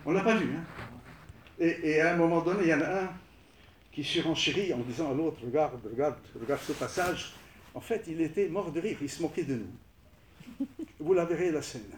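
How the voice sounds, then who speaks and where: A man whose first language is Arabic, recounting his film work to an audience in a halting way, repeating words as he restarts. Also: a little high, distant mic, a little hiss or hum. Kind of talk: spoken recollection to an audience. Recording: echoey room, voice from off-mic.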